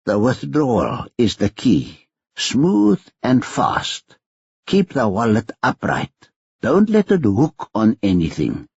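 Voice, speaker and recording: Older pickpocket mentor, instructive and unhurried, put on by a male narrator; husky tone. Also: mid-range voice, wildly swooping pitch, darkish tone, dry recording, heavily acted. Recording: dry, dull audio.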